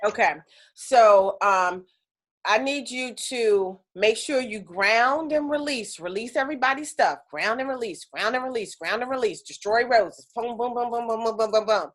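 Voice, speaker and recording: A woman teaching an energy healing class on a video call, chatty and animated, with lots of fillers. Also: a little high, moderate pace.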